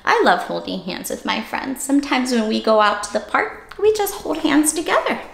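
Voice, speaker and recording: A woman's voice: sits high, sing-song, normal speed, creaky, fairly bright tone, echoey room, slight background noise. A woman teacher hosting an interactive read-aloud for young children, with exaggerated, playful, child-directed delivery. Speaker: teacher and story-time host for young children.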